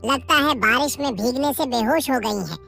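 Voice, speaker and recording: An actor voicing an excitable, concerned crow husband in a children's cartoon. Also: high-pitched, moderate pace, gravelly, soft background music, full character voice. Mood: surprised. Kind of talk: Hindi children's cartoon story dubbing.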